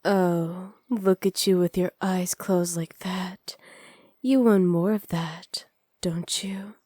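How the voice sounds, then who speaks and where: A woman playing a seductive, teasing witch in an intimate ASMR roleplay, sly and possessive, often slipping into breathy whispers close to the microphone. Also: on the low side, fairly even intonation, warm tone, no echo. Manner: slow, breathy, often whispered, flirtatious purr. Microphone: close studio microphone.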